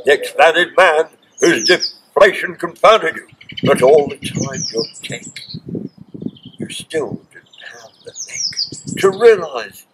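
A man's voice: a little high, animated, takes it slowly, background noise. Theatrical older man declaiming poetry outdoors. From Britain. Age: 70s. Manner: declaiming with sweeping gestures, theatrical emphasis. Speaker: poet. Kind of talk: dramatic poetry reading. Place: outdoors on a bench on a hilltop in countryside. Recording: outdoor recording with birdsong behind.

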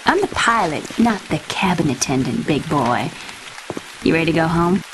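Sassy Southern game heroine, teasing, lowish and lively, noise and rain behind. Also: female voice, moderate pace. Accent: American Southern. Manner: teasing, folksy. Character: sassy young Southern female mutant pilot. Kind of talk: video game cutscene acting. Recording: clearly noisy, rain behind.

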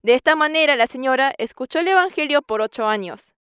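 A woman in her sixties gives an earnest church testimony on a studio stage, quoting scripture at length. Her voice is high and clear. The sound is dry, close and steady, from a lapel mic. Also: fairly quick, no room sound. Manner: earnest, quotes scripture at length and acts out dialogue with a raised voice. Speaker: farmer giving testimony.

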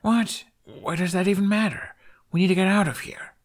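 A man's voice: sits high, normal speed, voice pushed up, close to an everyday voice. A man voicing a defiant, exasperated young male dragon rider with snappy protests in an audiobook. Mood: alarmed, irritated.

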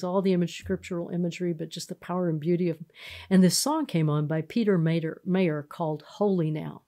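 Texan woman pastor, relaxed storytelling. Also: on the low side. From Texas. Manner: warm storytelling, frequent fillers and restarts. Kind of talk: casual podcast interview.

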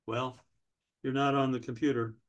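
Man playing an officious, deadpan older DMV clerk. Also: mid-range voice, moderate pace, muffled recording, close to an everyday voice. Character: pedantic, obstructive older male DMV window clerk. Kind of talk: staged comedy reading. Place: indoors, on a video call.

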